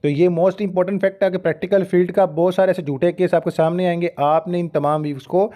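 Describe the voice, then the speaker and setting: A man's voice: high-pitched, fairly even intonation, brisk, warm tone. A man with a Pakistani accent lecturing on law, formally reading out notes and breaking off to explain points directly to students. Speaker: law lecturer and channel host. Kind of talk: lecture mixing read-out legal notes with explanatory asides.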